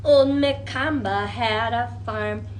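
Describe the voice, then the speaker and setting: A woman leading an interactive children's storytime as a librarian, talking in an animated way with exaggerated wonder and coaxing questions. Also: on the high side, takes it slowly, a little hiss or hum.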